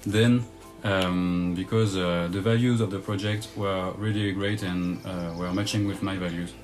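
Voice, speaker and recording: A young French student with a French accent and a lowish voice, speaking in a halting way with many "um"s and "uh"s. Faint music is heard in the background. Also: male voice, fairly even intonation. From France. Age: young adult. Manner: halting, frequent "um". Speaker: university student. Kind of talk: casual self-introduction.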